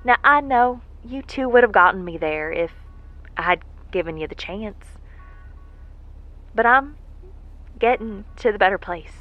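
A woman playing an earnest, vulnerable worker recording a heartfelt message in an audio drama, speaking in a folksy, halting, reflective way. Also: mid-range voice, animated, no room sound, close to an everyday voice. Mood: tender, hopeful. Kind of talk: intimate monologue in an audio drama. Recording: muffled lo-fi audio with music behind. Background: music.

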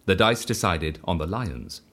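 Reckless dice-obsessed fugitive, audio drama. Low, very animated, evangelical, frank about the outrageous. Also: male voice, measured pace, heavily acted. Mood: earnest confession. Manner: excitable, evangelical, matter-of-fact about outrageous deeds. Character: reckless, exhilarated male dice devotee on the run.

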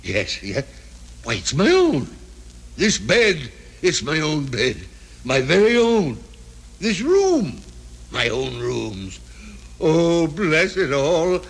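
An actor playing an excitable, stammering old miser in a vintage radio drama, with theatrical delivery. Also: male voice, fairly high pitch, takes it slowly, pauses often, slightly rough, exaggerated voice. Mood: giddy relief. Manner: theatrical, stammering exclamations and repetitions. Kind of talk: 1930s-40s radio drama acting. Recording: old radio recording with music behind.

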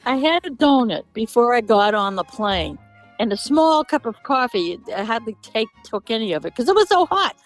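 An older woman calls in by cell phone with a high, animated voice. She rambles and grumbles in a comic, casual way, with faint music in the recording. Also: normal speed, rough recording. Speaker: regular call-in guest, the host's mother. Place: outdoors on a deck, on a cell phone. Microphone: cell phone call.

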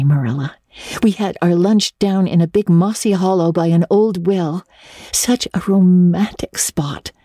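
A woman voicing a dreamy, talkative schoolgirl in a storybook, with earnest, dramatic and breathy emphasis. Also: mid-range voice, warm tone, no echo, clean studio sound, pitched above the performer's own voice, lightly acted. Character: dreamy, talkative, romantic schoolgirl with vivid imagination. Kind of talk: dramatic audiobook reading of classic novel.

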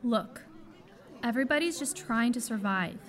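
A woman's voice: fairly high pitch, expressive, normal speed, a faint crowd, close to an everyday voice. A woman playing a pragmatic, wry survivor in an audio drama. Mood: earnest.

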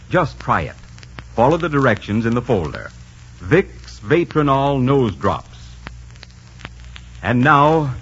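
Animated 1940s male radio announcer. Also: mid-range voice. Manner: polished, persuasive. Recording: noisy, muffled 1940s radio.

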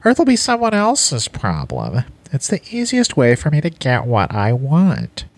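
An exasperated alien commander, played by a man, in an audio drama. His pitch lurches widely up and down as he grumbles, blunt and humorless, like a bureaucrat tired of his job. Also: huge pitch swings, measured pace, dry recording, exaggerated voice. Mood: weary, exasperated. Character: weary, grumbling alien fleet commander eager to retire. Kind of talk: audio play acting.

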